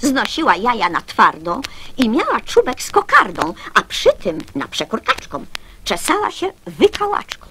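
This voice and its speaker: Female reciter for children's poems: bright, theatrical, very animated, faint hiss and clicks. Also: on the high side, normal speed. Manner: theatrical, storytelling. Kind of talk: expressive children's poem recital.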